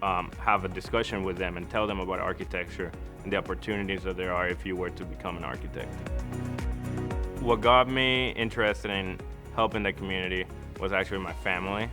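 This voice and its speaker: A man's voice: low-pitched, brisk. A man from Costa Rica speaking earnestly and reflectively in an interview testimonial, as an architect. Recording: music behind the voice. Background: background music.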